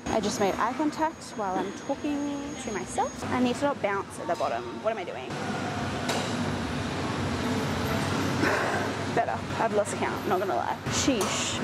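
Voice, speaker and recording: A woman in her twenties with an Australian accent chats casually and candidly to herself during a workout, with a self-deprecating, slangy way of talking. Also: on the high side. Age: 20s. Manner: exerting herself between sets. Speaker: fitness vlogger. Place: large concrete-walled gym, indoors. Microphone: clip-on mic on her top. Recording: loud gym ambience noise. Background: loud gym background noise.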